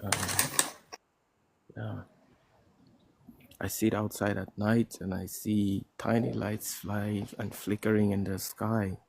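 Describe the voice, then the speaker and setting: A soft-spoken man from Cameroon, calling in and talking hesitantly with frequent restarts. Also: on the low side, little pitch movement, slow pace, vocal fry, long pauses, background noise. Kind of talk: casual chat.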